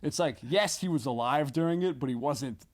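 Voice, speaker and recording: A man chatting casually as a comedian guest on a podcast, talking in halting, hedging bursts with casual swearing and frequent check-ins with listeners. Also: a little high, a little mellow.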